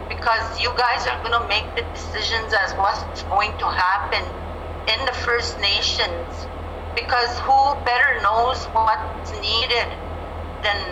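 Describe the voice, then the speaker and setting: A middle-aged woman speaks slowly and deliberately, laying out her case in a plain, earnest way. Her voice comes through a phone on a video call, with a roomy echo around it. Steady background noise sits under her words. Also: on the high side. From Manitoba, Canada. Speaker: candidate for chief. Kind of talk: campaign speech at a community forum.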